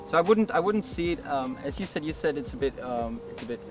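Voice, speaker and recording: A man's voice: lively intonation, fast. A man with an Austrian accent and German as his mother tongue, explaining things in casual conversation as a guest. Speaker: podcast guest. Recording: distant mic, music and vehicle rumble behind.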